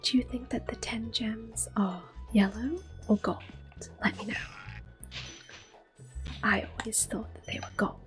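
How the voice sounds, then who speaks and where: Woman, ASMR gameplay commentary, breathy whisper, gentle, slow pace, soft music behind. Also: fairly high pitch, fairly even intonation. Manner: gentle, unhurried. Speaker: gameplay host. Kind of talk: soft-spoken ASMR video game commentary. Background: soft game music.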